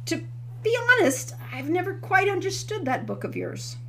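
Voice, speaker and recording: A woman's voice: extremely high pitch, lively intonation, moderate pace, a bit bright, slight background noise, pitched above the performer's own voice, exaggerated voice. A woman voicing a wry, teasing teenage boy companion in a storybook reading.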